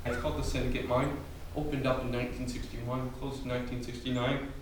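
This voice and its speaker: A man's voice: mid-range voice, level tone, fast. A man working as a tour guide, explaining things informally inside a mine. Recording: very echoey mine, distant mic, faint noise.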